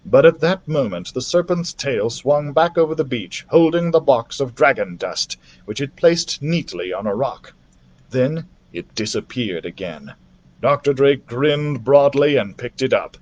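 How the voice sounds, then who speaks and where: A man narrates a children's adventure tale as if at library storytime. His mid-range voice moves up and down a great deal, with a theatrical, expressive delivery. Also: medium pitch, measured pace, slight background noise. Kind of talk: dramatic read-aloud of a children's adventure story.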